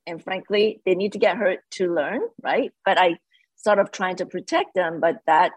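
A woman's voice: lowish voice, moderate pace, husky, no echo, rough recording. A woman from Malaysia, talking earnestly as a guest founder in a casual interview. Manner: earnest, animated, slightly non-native English grammar.

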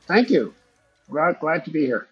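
A man's voice: a little high, big pitch swings, unhurried, slightly rough, dull audio, faint music behind. An older man, a native Hebrew speaker raised in Israel, telling his story as an interview guest in a rambling, reflective way. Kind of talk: conversational storytelling.

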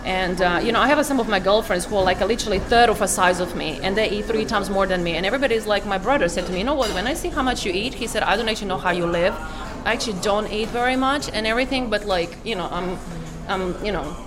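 A woman's voice: medium pitch, music in the background. A woman from Croatia speaking in a casual interview, with lots of fillers and restarts. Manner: halting, many fillers and restarts. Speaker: interviewee, cafe business owner.

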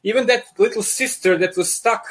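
A man with a thick Serbian accent speaks in a high-pitched voice over a video call. He is a comic artist guest telling stories in an animated, joking way, often restarting his sentences. The talk is loose and friendly. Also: a little fast.

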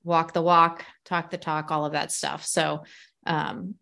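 A woman's voice: deep voice, level tone, unhurried, vocal fry, bright, forceful tone. A woman hosting a casual conversation over a call, speaking candidly and bluntly, laughing easily. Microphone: remote call.

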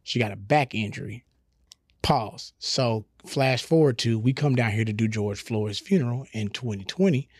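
A man's voice: mid-range voice, normal speed, slightly rough, no room sound, clean studio sound. A middle-aged man telling stories casually as a podcast guest, candid and profane with slangy asides. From Houston, Texas. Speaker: podcast guest, comedian and radio personality.

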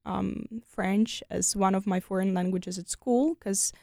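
A woman's voice: mid-range voice, steady tone, a little slow, creaky, darkish tone, dry recording. Young Ukrainian woman, chatty and dry. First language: Ukrainian and Russian. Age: young adult. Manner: chatty, many fillers like "like" and "you know". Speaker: guest. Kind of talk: casual interview conversation.

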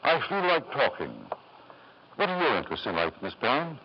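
An actor playing a powerful middle-aged tycoon in a radio drama, with halting, smooth-talking insistence. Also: male voice, medium pitch, big pitch swings, natural voice. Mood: hesitant charm. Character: powerful, self-satisfied middle-aged British tycoon, hesitant in charm. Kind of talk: 1950s radio drama acting. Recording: dull, noisy 1950s radio recording.

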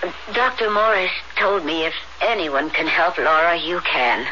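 An anxious, proper mother in a radio play. Her voice is bright and tense, with faltering delivery. The old recording is dull, with faint noise. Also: on the low side, moderate pace. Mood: anxious, hesitant. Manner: hesitant, faltering. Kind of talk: radio drama acting.

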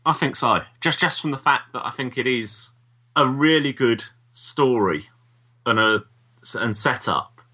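A man's voice: medium pitch, lively intonation, measured pace, sharp tone, slightly rough. A man talking casually as co-host of a review podcast. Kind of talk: casual review chat about audio dramas.

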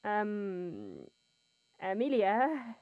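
A woman's voice: medium pitch, huge pitch swings, drawn-out words, dry recording, narrow, tinny audio, exaggerated voice. An actress playing a patient, slightly hesitant woman who talks someone through a task step by step. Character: calm, hesitant woman giving instructions.

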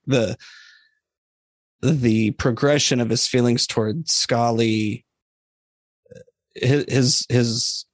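Even-toned man giving hesitant opinions. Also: medium pitch, breathy edge, no echo. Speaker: podcast guest reviewer. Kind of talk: casual book discussion.

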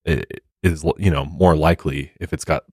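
A man's voice: a bass voice, fairly even intonation, a little fast, a little mellow, breathy edge, no room sound, studio quality. A man co-hosting a podcast, giving casual, opinionated commentary. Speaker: podcast co-host.

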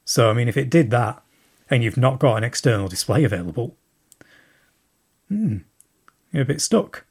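A man with a husky, slightly breathy voice leaves a long recorded message for a show. He thinks aloud as he goes, circling back with plenty of ums. His voice sits at an ordinary middle pitch. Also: expressive, normal speed, long pauses, a little mellow. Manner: rambling, thinking aloud with many fillers. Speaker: listener sending in a question.

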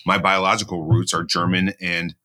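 A man's voice: low voice, little pitch movement, normal speed, pushed and bright. A man raised in the American Midwest, speaking earnestly on a podcast with frequent restarts. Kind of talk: casual podcast chat.

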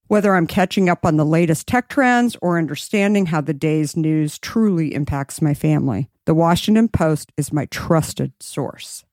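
A woman's voice: lively intonation, normal speed, few pauses, a little mellow, no room sound, studio quality. A woman podcast host reading sponsor ads in an upbeat, persuasive way. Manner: upbeat, persuasive sales pitch. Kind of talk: read ad.

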